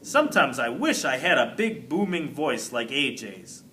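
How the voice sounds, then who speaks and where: A man, a teacher, reads a children's book aloud with expressive storybook narration. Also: mid-range voice, normal speed, a little hiss or hum.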